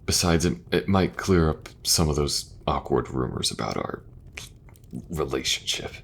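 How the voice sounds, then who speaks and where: Fantasy audio drama king, male actor: very low voice, earnest, measured, some background noise. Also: lively intonation, gravelly, heavily acted. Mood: earnest, warm. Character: benevolent fantasy king, earnest brother and father. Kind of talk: fantasy audio roleplay acting.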